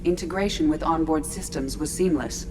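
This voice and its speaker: A woman voicing a calm ship artificial intelligence in a video game, precise, formal and detached. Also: deep voice, moderate pace, noisy background, lightly acted. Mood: clinical calm. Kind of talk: video game dramatic acting.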